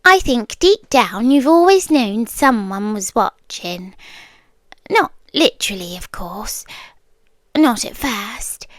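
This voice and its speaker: A British woman playing a possessive, menacing yandere girlfriend in an ASMR roleplay, soft-spoken and deliberate, often slipping into whispers, sweetly condescending. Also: on the high side, expressive, no room sound. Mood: creepily tender. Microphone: close, dry microphone.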